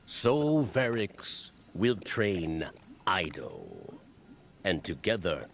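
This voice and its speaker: A humbled old alien scribe from a video game, with a low voice that moves very slowly. The phrasing is halting and theatrical, and the pitch swings widely from word to word. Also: male voice, huge pitch swings, vocal fry, slight background noise, heavily acted. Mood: remorseful, solemn. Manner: halting, broken phrasing, speaks of himself in third person.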